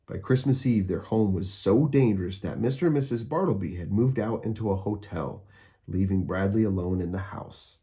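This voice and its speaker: A man narrating a children's storybook aloud, expressively and with deliberate, dramatic emphasis. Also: on the low side, dark tone.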